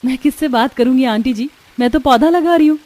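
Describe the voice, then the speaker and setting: Woman playing gentle young village bride, high voice. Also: brisk, no room sound, natural voice. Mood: earnest. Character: gentle, earnest young village daughter-in-law.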